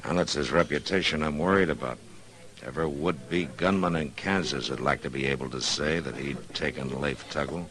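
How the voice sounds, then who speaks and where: An American man acting a calm, authoritative middle-aged frontier marshal in an old-time radio western. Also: very deep voice, normal speed, crowd noise, poor audio. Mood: wry, concerned.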